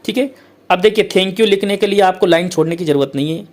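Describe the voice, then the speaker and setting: Male tutor with a slightly high voice, patient and repetitive. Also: darkish tone. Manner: repetitive, keeps saying "okay, friends"; reads English lines slowly, then explains. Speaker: English teacher. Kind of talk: explaining a letter format to students.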